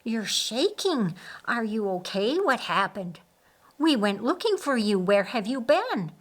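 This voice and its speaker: A woman's voice: on the high side, sing-song, unhurried. Worried, protective male friend for an audiobook female reader playing him, urgent and questioning. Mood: worried, demanding. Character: concerned, questioning young man, protective friend.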